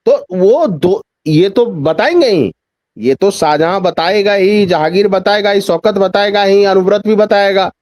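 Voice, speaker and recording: A man's voice: sits high, a little slow, darkish tone, no echo, studio quality. A man speaking as a journalist guest in a news discussion, giving emphatic political commentary with repeated phrases and rhetorical questions.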